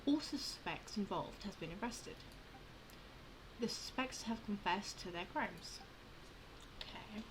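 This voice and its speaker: A woman with a medium-pitched voice plays a game and comments on it casually. She is informal and halting when she reads aloud. There is clear noise in the background. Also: lively intonation. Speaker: gamer, channel host.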